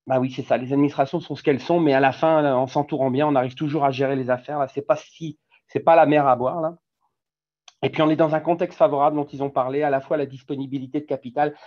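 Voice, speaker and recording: A middle-aged man from France with a French accent, speaking informally on a business webinar panel with many hesitations and restarts. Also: fairly high pitch. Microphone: video call. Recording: dull lo-fi webinar audio.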